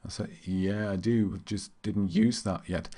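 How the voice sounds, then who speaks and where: Low-voiced man streaming games. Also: fairly even intonation, unhurried, dark tone, breathy edge. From Germany. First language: German. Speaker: game streamer. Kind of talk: casual gaming commentary. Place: indoors at a computer.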